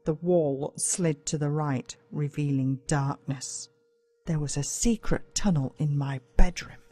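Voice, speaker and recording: An Australian woman reads a children's story aloud in a fairly low voice. Her first-person narration is scripted and theatrical, drawing out the suspense as she goes. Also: unhurried, warm tone, breathy edge. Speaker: audiobook narrator.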